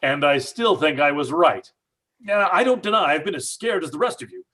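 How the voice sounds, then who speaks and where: A man's voice: fairly high pitch, animated, normal speed, fairly bright tone. An actor playing a stubborn, skeptical father, blustering and scornful. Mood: shaken. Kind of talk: old time radio drama acting.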